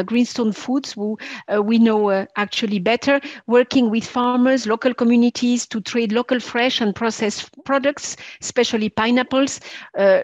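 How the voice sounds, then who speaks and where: A woman's voice: a little high, no echo. A woman moderating an online session, speaking hesitantly with many fillers. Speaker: webinar moderator. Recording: dull lo-fi online call audio.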